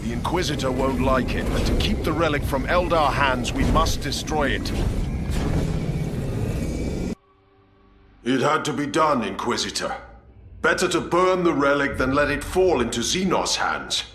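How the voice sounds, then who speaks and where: A man's voice: medium pitch, animated, normal speed, natural voice. A man playing a resolute space marine commander in a video game, speaking formally and with authority. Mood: urgent, commanding. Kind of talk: dramatic video game cutscene acting. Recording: echoey, faint background noise.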